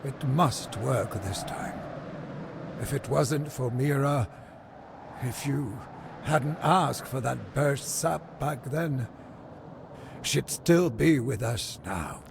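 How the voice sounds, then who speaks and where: Slow, gravelly old village sorcerer. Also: male voice, mid-range voice, background noise. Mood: wistful. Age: older. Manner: solemn, wistful. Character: grieving old village sorcerer, forgetful and solemn. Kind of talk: fantasy video game voice acting. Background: music.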